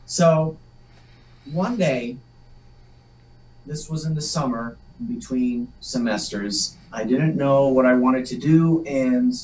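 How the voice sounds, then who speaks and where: A man, Polish by upbringing, tells long, meandering stories with dry jokes at his own expense. His voice sits at an average pitch. The sound is roomy and echoey, and the mic is some distance from his mouth. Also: slow pace, darkish tone. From Massachusetts, USA. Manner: rambling, self-deprecating joker. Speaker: guest, actor. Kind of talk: casual interview storytelling.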